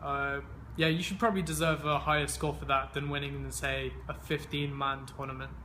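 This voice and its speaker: A man's voice: mid-range voice, flat delivery, a little fast, bright, forceful tone, slight background noise. A man in his twenties, raised in England, answering interview questions in an easygoing way with many fillers and restarts. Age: 20s. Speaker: interviewee, games player.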